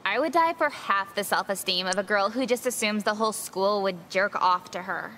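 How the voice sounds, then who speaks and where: A woman's voice: mid-range voice, moderate pace, pushed and bright, slight background noise, lightly acted. A woman playing a dry, unimpressed teenage girl in a visual novel, sarcastic and matter-of-fact. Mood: wry.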